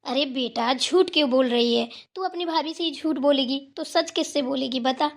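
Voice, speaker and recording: A woman's voice: high-pitched, moderate pace. A woman playing a caring but scolding village mother-in-law in a family cartoon, speaking in a homely, coaxing way. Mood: chiding, coaxing. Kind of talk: Hindi family moral cartoon dialogue.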